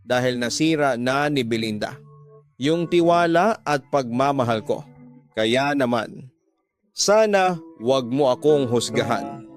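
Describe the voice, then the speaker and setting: A man's voice: on the high side, close to an everyday voice. A man playing a betrayed husband in a radio drama, hurt and earnest as he emphatically pleads his side. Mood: wounded, pleading. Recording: music bed behind the voice.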